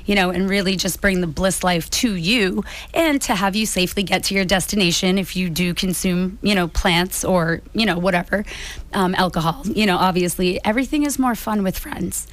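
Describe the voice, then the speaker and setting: An American woman talks casually as an interview guest. Her voice sits at an average pitch and carries a slight rasp, with an informal, chatty way of explaining. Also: normal speed. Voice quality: a little raspy. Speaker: guest, small business founder.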